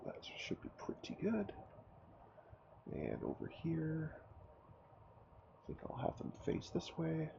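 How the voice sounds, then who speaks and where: A man with a cold, his throat sore and his voice raspy, casually commenting on his own game as he builds and thinks aloud. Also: medium pitch, dull audio, noisy background. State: hoarse from a cold. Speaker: gameplay commentator. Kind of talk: casual let's-play commentary.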